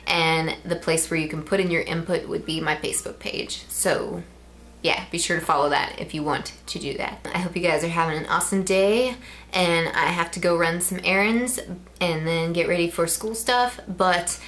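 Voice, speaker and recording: A woman's voice: on the low side, moderate pace, a bit bright. A woman in her twenties with a Midwestern American accent, chatting casually to camera in a rambling way with frequent ums. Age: 20s. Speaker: vlogger.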